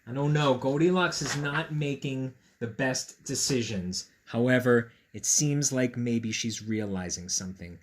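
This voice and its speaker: A man reading a picture book aloud to children in a playful, expressive way, with chatty asides to his young listeners. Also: mid-range voice, unhurried, few pauses.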